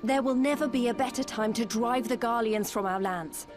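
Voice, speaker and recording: A woman's voice: fairly high pitch, normal speed, music in the background, natural voice. An actress playing an earnest, determined young woman fighter in a video game, speaking in a heartfelt way. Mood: resolute. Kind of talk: video game cutscene acting.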